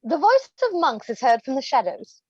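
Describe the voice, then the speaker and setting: A woman's voice: high voice, sing-song, normal speed, no echo, lo-fi sound. A woman reading stage directions as scripted narration for a play.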